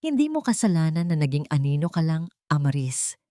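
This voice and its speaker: Babaeng gumaganap na matatag at mapagtanggol na ina. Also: female voice, low voice, very animated, brisk, warm tone, no room sound, studio quality. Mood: firm defiance. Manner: controlled, pleading then firm. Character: protective young mother facing down her rival, firm, controlled.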